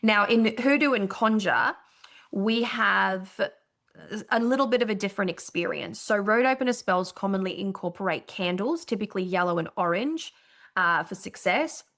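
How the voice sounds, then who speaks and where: A woman's voice: mid-range voice, animated, normal speed, a bit bright. Enthusiastic Brazilian-born woman podcaster. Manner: enthusiastic, explanatory, occasional swearing. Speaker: podcast co-host. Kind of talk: casual podcast chat.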